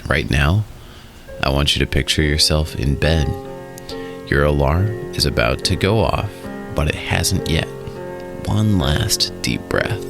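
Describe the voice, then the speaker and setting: A man narrating a guided relaxation in a soothing, instructional way, in short phrases with pauses. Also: extremely low pitch, gravelly. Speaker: guided meditation host. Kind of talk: guided meditation and hypnosis narration. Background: music.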